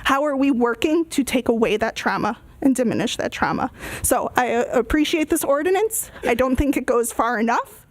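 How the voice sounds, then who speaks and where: A woman's voice: high voice, expressive, normal speed, no echo. A woman speaking earnestly and emphatically at a public meeting, in short repeated phrases. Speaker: resident giving public comment.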